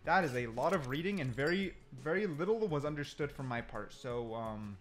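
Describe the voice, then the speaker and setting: Male gaming creator, animated, slightly high voice, casual think-aloud delivery with emphatic repetition. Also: moderate pace, faint music behind. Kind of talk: casual gameplay commentary. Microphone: desk mic, sometimes turns away from it.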